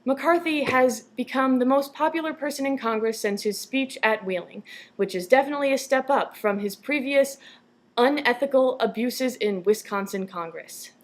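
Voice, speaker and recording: Slightly high-voiced young female newsreader. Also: normal speed. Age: young adult. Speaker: news anchor. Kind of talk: scripted newscast narration.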